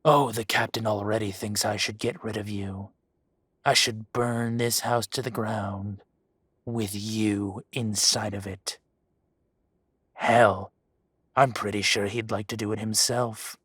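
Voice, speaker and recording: A man voicing a menacing adult male dragon gang boss in an audio roleplay drama. He speaks softly and condescendingly, with deliberate, slow threats and hushed whispers. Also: on the low side, steady tone, husky, no room sound, studio quality, full character voice. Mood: silky menace. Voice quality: soft-spoken, silky and condescending, drops to whispers.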